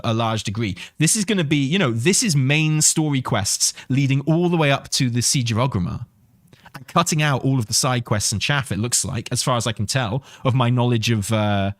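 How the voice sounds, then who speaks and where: A man's voice: mid-range voice, no echo, studio quality. A man giving casual, enthusiastic gaming commentary, thinking aloud in an emphatic, conversational way and repeating himself for emphasis. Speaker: video game commentator and host.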